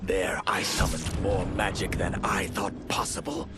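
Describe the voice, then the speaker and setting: Grave, slow-spoken high mage. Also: male voice, fairly even intonation, very noisy. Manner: formal, grave, measured. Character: proud male high mage, solemn and remorseful. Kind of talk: dramatic scripted game cutscene narration. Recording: loud music under the voice.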